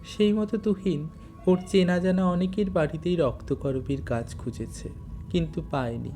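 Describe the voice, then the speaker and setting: Mellow storyteller over background music. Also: medium pitch, fairly even intonation, moderate pace. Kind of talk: scripted audio story narration.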